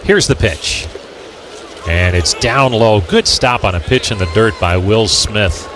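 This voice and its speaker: A man's voice: fairly low pitch, expressive, a little slow, fairly bright tone. An American man calling a baseball game as a radio play-by-play announcer. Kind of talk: live radio sports commentary. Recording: clear background noise from outdoor ballpark.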